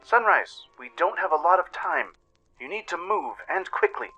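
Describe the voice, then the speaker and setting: A man's voice: sits high, big pitch swings, moderate pace, pushed and bright, slightly rough, dull audio, faint music behind, voice pushed up, exaggerated voice. A man voicing an older, bossy mare, a disembodied spirit giving urgent commands in an audio drama. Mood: urgent, commanding.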